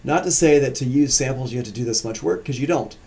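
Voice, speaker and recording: A man with a gravelly, mellow voice explains sampling software in a loose, conversational way, talking as he clicks through screens. He speaks at a medium pitch into a desk mic. A faint hiss sits behind the voice. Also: fast talker. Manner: casual, relaxed explaining while working on screen. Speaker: music production instructor. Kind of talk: live-streamed software tutorial.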